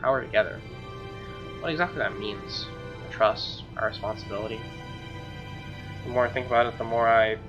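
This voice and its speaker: A man's voice: mid-range voice, steady tone, brisk, long pauses. Man reading aloud over music, dull mic. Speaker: let's player reading a game aloud. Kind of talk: reading visual novel narration aloud with casual asides. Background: game music.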